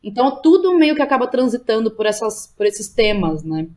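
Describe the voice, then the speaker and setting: A woman's voice: on the high side, sing-song, fairly quick, dark tone. A woman with a Brazilian Portuguese accent talking casually about her own work as an interview guest, informal and slangy, thinking aloud as she goes. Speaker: guest, playwright.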